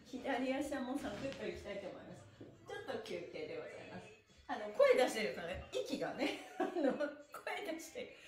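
A middle-aged woman instructor leading a live stretching class, cheerful and playful, chanting in rhythm as she moves. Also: fairly high pitch. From Japan. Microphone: camera across the room. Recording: echoey small room.

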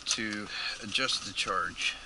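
A man's voice: on the low side, slow, roomy sound, long reverb tail, heavy background noise. Man narrating HVAC work on a head camera. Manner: methodical, talks through readings. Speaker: HVAC technician. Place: attic, garage and outdoors in snow. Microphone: head-mounted action camera.

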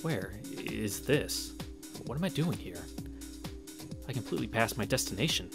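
A man casually reading a visual novel aloud as a streamer, with halting, wry asides. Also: mid-range voice, lively intonation, gravelly. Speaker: let's play streamer. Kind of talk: casual read-through of a visual novel with commentary. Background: game music.

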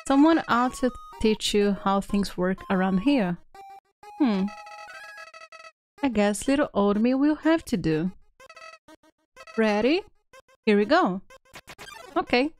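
A woman hosting a casual live game stream, playful and exclamatory, chatting with viewers and reading text aloud. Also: on the high side. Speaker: streamer, video game host. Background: faint video game music.

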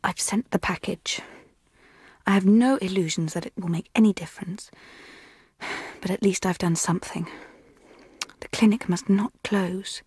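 A woman's voice: medium pitch, normal speed, darkish tone, slightly rough, no room sound, studio quality. An actress playing a devoted, troubled young woman in a radio drama monologue, solemn and confiding. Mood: earnest, confiding. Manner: confiding, solemn, partly whispered.

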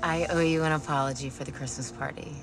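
A low-voiced teasing seductress. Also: female voice, fairly even intonation, fast. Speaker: office temp. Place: parked car in a garage. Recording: film audio under music score.

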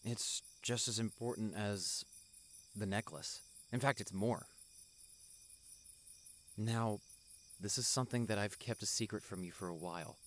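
A devoted young boyfriend played by a man in a romantic audio drama. He takes his time over every phrase. His delivery is soft, earnest and full of affection. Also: on the low side, natural voice. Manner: soft, tender, earnest, unhurried. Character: tender, devoted young doctor boyfriend proposing to partner.

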